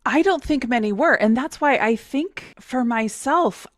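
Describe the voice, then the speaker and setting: A woman hosting a podcast, talking casually in a loud, outspoken voice. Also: high-pitched, expressive, measured pace, no room sound. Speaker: podcast host. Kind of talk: casual conversation.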